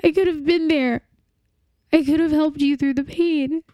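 A woman's voice: sits high, steady tone, no echo, lo-fi sound, lightly acted. A woman playing a young woman in grief. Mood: heartbroken. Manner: halting, tearful, with restarts. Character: young woman grieving a lost partner. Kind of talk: dramatic monologue.

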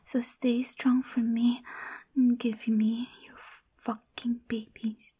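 A woman's voice: a little high, flat delivery, slow pace, dark tone, exaggerated voice. A woman playing a domineering, possessive lover in an intimate audio roleplay, whispery and commanding. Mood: coaxing. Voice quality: breathy, whispery. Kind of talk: adult ASMR audio roleplay.

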